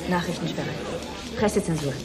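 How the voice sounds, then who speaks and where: An actress playing a brisk, businesslike news editor in a German radio drama. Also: female voice, fairly low pitch, lightly acted. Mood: grim, resolute. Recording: busy crowd noise behind voice. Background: busy crowd noise.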